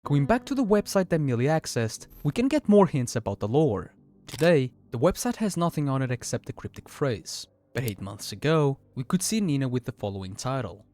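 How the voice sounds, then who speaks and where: A man with a mid-range voice narrates a scripted explainer. His pitch moves up and down a lot as he lays things out like a video essayist. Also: big pitch swings, a little fast, darkish tone.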